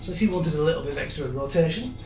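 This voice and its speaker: A man, hoarse and losing his voice, leading a live-streamed workout with chatty, encouraging asides. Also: a little high, expressive, rapid-fire, recorded from a distance. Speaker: fitness instructor. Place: room in an old house. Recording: echoey room, off-mic, music behind.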